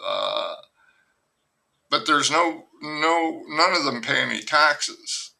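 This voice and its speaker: A man's voice: on the high side, lively intonation, takes it slowly, vocal fry, bright, forceful tone. An older man, a novelist being interviewed on a podcast, speaking frankly in a rambling way and often restarting his sentences. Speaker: novelist guest. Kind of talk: candid podcast conversation.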